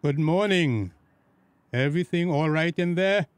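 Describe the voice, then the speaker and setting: A man with a Tobagonian accent playing a formal, inquisitive village police constable in a radio drama, questioning deliberately. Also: on the high side, smooth, natural voice.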